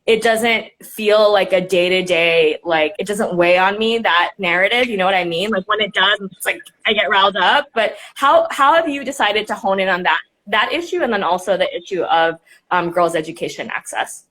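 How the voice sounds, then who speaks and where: A young woman with a mid-pitched voice hosts a live interview over a video call. She talks casually and rambles, with many fillers and restarts. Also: normal speed, fairly bright tone, rough recording. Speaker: host, nonprofit director.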